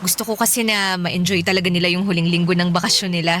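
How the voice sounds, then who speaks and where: A woman's voice: lowish voice, steady tone, quick pace, a little hiss or hum, natural voice. A woman playing a caring widowed mother in a radio drama, talking in an affectionate, everyday way. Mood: warm, conversational. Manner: affectionate, gently cautioning everyday talk. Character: caring widowed Filipino mother of two young boys.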